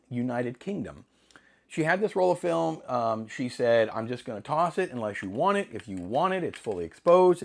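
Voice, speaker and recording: Middle-aged male vlogger, slightly hoarse, rambling and jokey, lively up-and-down delivery. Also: medium pitch. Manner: rambling, self-deprecating, jokey asides. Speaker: film hobbyist channel host. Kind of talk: casual talk to camera.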